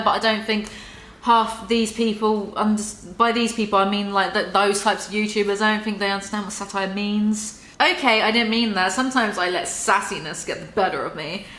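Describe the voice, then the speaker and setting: A woman's voice: medium pitch, fairly bright tone. A woman reading a book aloud in a mock-theatrical way and breaking off for sarcastic commentary. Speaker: YouTuber reading and mocking a book. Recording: roomy echo.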